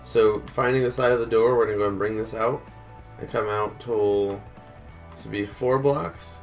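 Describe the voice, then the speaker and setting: A man's voice: lowish voice, moderate pace, faint music behind. A man who is a little sick, casually walking through a building tutorial step by step and counting blocks aloud. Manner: relaxed, counts blocks aloud, many fillers. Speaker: gaming tutorial host.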